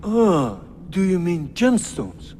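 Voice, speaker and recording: Humble labourer in cavernous reverb. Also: male voice, sits high, huge pitch swings, slow, mellow, full character voice. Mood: nervous, explaining. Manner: pleading, deferential. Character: humble, frightened male labourer pleading for his life. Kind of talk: video game cutscene acting. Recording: long cavernous reverb, faint ambient noise.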